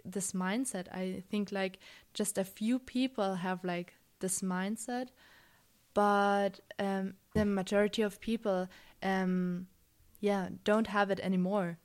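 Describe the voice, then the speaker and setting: Woman from germany, slow and hesitant with lots of ums, smooth clear voice, pretty flat. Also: medium pitch. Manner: hesitant, many ums, thoughtful. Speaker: guest. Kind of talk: casual conversation.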